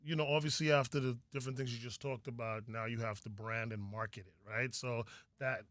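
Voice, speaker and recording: Bright-voiced man hosting casually. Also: medium pitch, fairly even intonation, brisk, no room sound. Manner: informal, slangy, encouraging. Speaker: podcast host. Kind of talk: casual interview chat.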